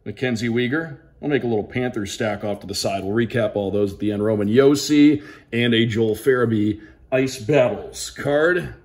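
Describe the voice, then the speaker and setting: An American man with a mid-pitched voice gives casual commentary while unboxing. He sounds like a sports broadcaster, calling out names crisply in a play-by-play manner. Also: moderate pace, darkish tone. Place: indoors at a tabletop, small room.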